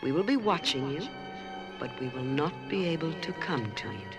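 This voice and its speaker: A performer playing a kindly, motherly old celestial guardian in an audio drama, gently explaining and reassuring. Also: medium pitch, lively intonation, moderate pace, lightly acted. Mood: tender, grave. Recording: music under the voice.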